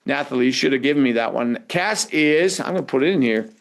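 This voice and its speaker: A man's voice: medium pitch, expressive, fast, creaky. A man teaching a live webinar in a conversational way, prompting listeners with rhetorical questions. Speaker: webinar instructor.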